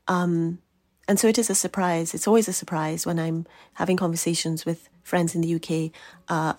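A woman researcher and writer from Trinidad, answering interview questions in a measured, earnest way. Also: low voice, a little mellow, no room sound.

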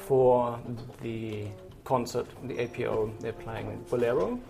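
Halting man explaining over loud background music. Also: medium pitch, fairly even intonation, creaky. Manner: halting, thinking aloud while working. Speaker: radio sound engineer. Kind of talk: informal explanation in an interview. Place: large town hall concert venue. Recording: loud orchestra practice behind the voice. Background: orchestra musicians practising.

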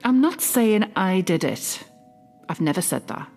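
A woman playing a possessive middle-aged mother in a confiding dramatic monologue, smug, mock-innocent and quietly malicious. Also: medium pitch, very animated, unhurried, soft background music. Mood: coolly self-justifying. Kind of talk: dramatic monologue, confiding fiction podcast narration.

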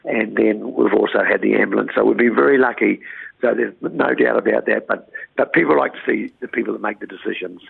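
A New Zealand man speaking as a town mayor in a phone interview, with a croaky voice and an earnest, rambling way of talking that restarts words. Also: lowish voice, brisk, dry recording. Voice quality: croaky, gravelly voice. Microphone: phone line. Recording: tinny phone line, dry.

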